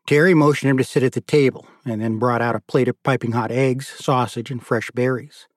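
A man narrates a literary novel in a scripted audiobook style. His voice has a middling pitch. The recording is dry, with no room sound. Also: fairly even intonation, brisk, dry recording.

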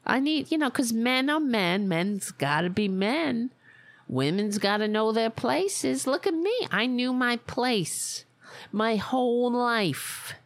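A brash older widow from Staten Island, as a woman puts her on, with a thick Staten Island accent. She drawls slowly in a gravelly voice that swoops up and down. The sound is close and dry. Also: fairly high pitch, animated, no echo. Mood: mocking. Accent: Staten Island, New York. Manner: sarcastic, exaggerated, mocking persona. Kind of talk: satirical character monologue.

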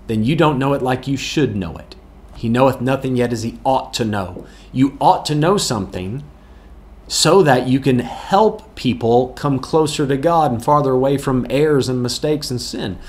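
Guy doing a bible q&a, earnest, chuckles a lot, normal pitch. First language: English, not Afrikaans. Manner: plain, earnest, chuckles often, restarts words. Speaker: pastor answering viewer questions. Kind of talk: casual Bible Q&A teaching.

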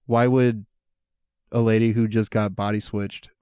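A man's voice: lowish voice, flat delivery, unhurried, a little mellow, clear, resonant tone, no room sound, studio quality. A young man chatting casually about a film, rambling with lots of fillers and swearing freely. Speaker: podcast co-host reviewing a film. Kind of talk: casual chat.

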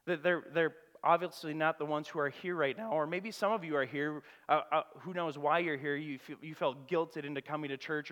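Pastor with a cracking, tired voice. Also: male voice, fairly high pitch. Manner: prays softly and slowly, then preaches with rising energy and questions. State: losing his voice, cracking. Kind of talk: sermon with spoken prayer. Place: church sanctuary. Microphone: church PA microphone. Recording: long hall reverb.

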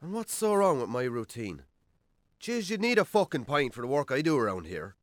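An actor playing a defensive, hard-drinking Irish bachelor farmer in a naturalistic radio drama, colloquial and dryly sarcastic. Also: male voice, medium pitch, big pitch swings, moderate pace, no room sound, studio quality, lightly acted. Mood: bitter, sarcastic.

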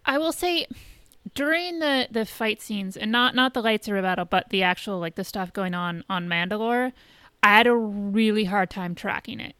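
Clear-voiced woman, blunt and dry-sounding. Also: medium pitch, moderate pace, fairly bright tone, dry recording. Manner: blunt, informal, profane. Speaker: podcast co-host. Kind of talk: casual chat reviewing a TV episode.

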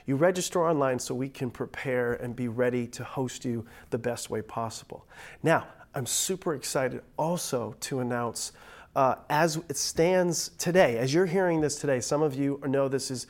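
A man's voice: mid-range voice, normal speed. A middle-aged man, a pastor giving a pre-recorded online church message, sounding a little nasal from a cold, upbeat and joking in a conversational way.

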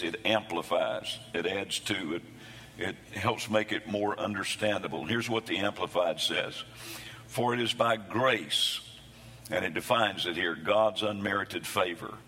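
A man preaching a sermon, his voice hoarse from losing it between services, with a folksy, self-deprecating humor. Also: on the low side, bright, forceful tone, big-room sound. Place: church sanctuary.

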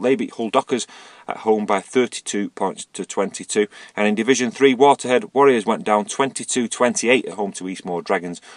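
A man's voice: mid-range voice, no room sound. Man with a fading voice, reading results. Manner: steady list-reading with small stumbles. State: losing his voice. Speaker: amateur rugby league reporter.

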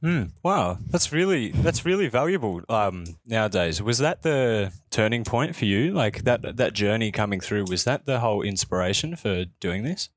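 A man's voice: lowish voice, lively intonation, normal speed, hardly any pauses, no echo. A man conducting a casual interview in an encouraging, appreciative manner.

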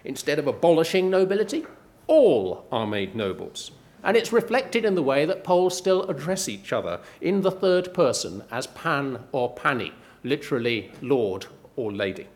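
Lively, wry professor talking books. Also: male voice, on the high side. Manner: measured, wry and lively, with dry asides. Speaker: professor giving a book pitch. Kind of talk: prepared talk.

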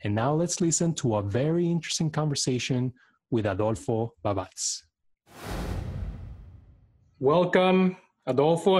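A man's voice: medium pitch, darkish tone. A man hosting an interview podcast, presenting and putting questions to a guest. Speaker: podcast host. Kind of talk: scripted introduction and interview.

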